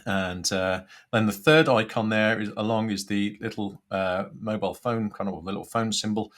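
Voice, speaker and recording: A man's voice: fairly low pitch, fairly even intonation, normal speed, fairly bright tone. A man giving an informal step-by-step product demonstration, hesitating and thinking aloud as he goes. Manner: hesitant, thinking aloud while tapping through steps. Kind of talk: informal screen-by-screen tutorial.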